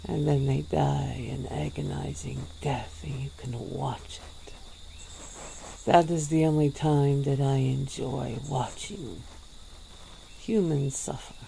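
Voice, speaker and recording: A woman voicing a centuries-old female vampire in an ASMR roleplay, speaking in a slow, drawn-out, purring way. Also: steady tone, creaky, a little hiss or hum, heavily acted. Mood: languid. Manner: slow, low, drawn-out purring delivery with soft laughs.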